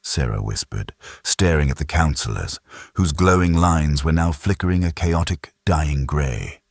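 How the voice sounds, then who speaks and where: A man narrating a scripted science fiction audiobook in a measured, dramatic way. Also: rumbling low, gravelly, no room sound, studio quality.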